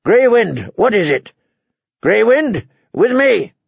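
A man's voice: a little high, moderate pace, gravelly, a little mellow, no echo, highs cut off. A man voicing a guarded young king with a firm, commanding manner in an audiobook. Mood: urgent.

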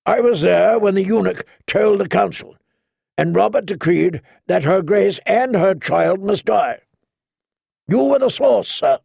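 A man's voice: high-pitched, animated, a little slow, vocal fry, darkish tone, slightly rough, dry recording, studio quality, pitched above the performer's own voice, exaggerated voice. A man reading an audiobook, voicing a stern old male knight who speaks sharply and accusingly.

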